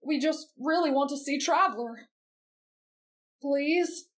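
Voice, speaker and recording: A woman voicing a gentle, polite, kind-hearted teenage boy in an anime roleplay, earnest and soft. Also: sits high, slow pace. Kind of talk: anime fan roleplay audio drama.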